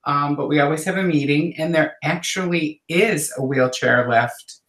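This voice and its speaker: A man guesting on a livestream, explaining casually in halting phrases. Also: fairly high pitch, fairly bright tone. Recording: roomy, echoing call audio.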